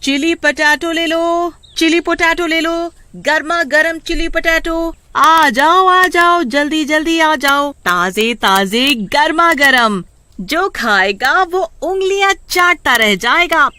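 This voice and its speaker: A woman voicing a cheerful, caring young street-food seller in an animated story, lively and sing-song. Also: high-pitched, slow pace, no echo, pitched above the performer's own voice, exaggerated voice. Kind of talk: animated Hindi story dialogue.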